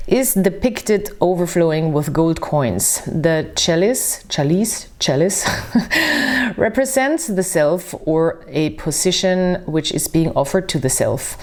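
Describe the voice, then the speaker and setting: Woman, non-native English speaker, slightly low voice; gentle, thoughtful tarot reader. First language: not English. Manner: soft, musing, reads slowly from a book. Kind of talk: casual tarot reading to camera.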